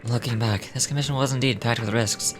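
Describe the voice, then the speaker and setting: A man's voice: mid-range voice, steady tone, fairly quick. A man reading game dialogue aloud in character, with small stumbles as he reads. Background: music.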